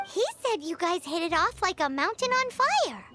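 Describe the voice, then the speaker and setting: A woman playing a tiny, cheeky floating fairy girl with a squeaky childlike voice in a video game. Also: very animated, moderate pace. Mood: curious. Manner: refers to self in third person, exclamatory. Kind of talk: video game character acting. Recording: loud music behind the voice.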